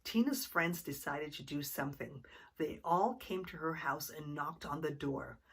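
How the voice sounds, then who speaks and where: A woman's voice: low voice, a little slow, husky. A woman reading a children's story aloud expressively, as if to young listeners. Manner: asks the young listeners questions. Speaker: storytime reader.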